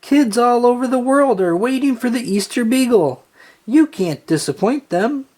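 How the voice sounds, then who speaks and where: A storybook narrator voicing an earnest, excitable little boy who speaks with urgent insistence. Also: mid-range voice, normal speed, slightly rough, pitched above the performer's own voice. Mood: anxious pleading.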